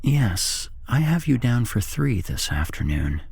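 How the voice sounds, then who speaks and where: A man's voice: mid-range voice, sing-song, measured pace, gravelly, a little mellow, husky, no room sound, pitched above the performer's own voice, exaggerated voice. A man voicing a polite, nervous female front-desk receptionist in a whispered horror story, speaking hesitantly. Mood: polite, uneasy.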